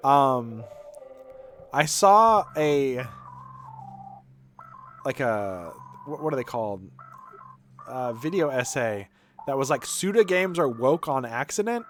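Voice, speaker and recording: A man's voice: medium pitch, big pitch swings, slow, pauses often, fairly bright tone, slight background noise. A man doing casual, rambling game stream commentary, giving opinions informally and sometimes reading lines aloud.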